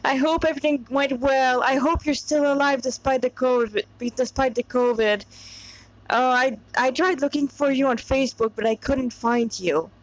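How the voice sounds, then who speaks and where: A woman's voice: high voice, normal speed, no echo. A woman from Montreal reading a story aloud in an informal, rambling way, often breaking off for chatty asides. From Montreal, Canada. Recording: dull lo-fi recording.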